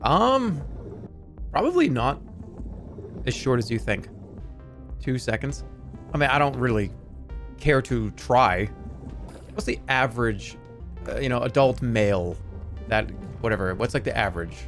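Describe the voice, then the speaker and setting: A man's voice: medium pitch, lively intonation, a little slow, pauses often, fairly bright tone, soft background music. A man in his thirties streaming a game, chatting casually in a rambling, sarcastic way and swearing freely.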